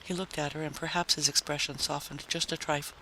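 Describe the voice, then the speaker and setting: Guy reading a dramatic audiobook story, bright slightly high voice, hissy noise in the background. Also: flat delivery, a little fast, poor audio. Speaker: audiobook narrator. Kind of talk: dramatic scripted story narration.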